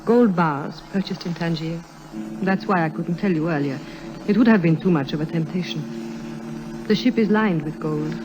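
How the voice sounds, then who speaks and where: Woman acting a serious secretive daughter of a warlord, measured formal lines, old radio show. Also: fairly low pitch, muffled recording, lightly acted. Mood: grave, confiding. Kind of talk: 1950s radio drama acting. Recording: vintage radio drama recording.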